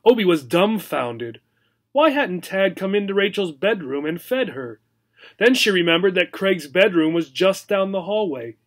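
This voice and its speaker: A man with a notably high voice reads a children's story aloud as a teacher. His telling is expressive and explanatory, pitched for young learners. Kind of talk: children's story read-aloud with simple recap.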